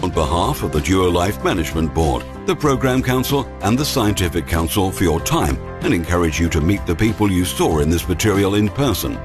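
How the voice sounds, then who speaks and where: A man's voice: sits low, expressive, fairly quick, hardly any pauses. A man reading a formal, promotional company presentation script. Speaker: corporate presentation narrator. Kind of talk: scripted corporate narration. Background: background music.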